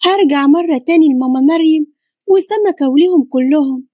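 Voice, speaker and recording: A woman's voice: high-pitched, brisk, dark tone, no echo, voice pushed up, natural voice. A woman voicing a naive, emotional young woman with heartfelt, exclaiming delivery and an Egyptian accent. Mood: wistful, relieved.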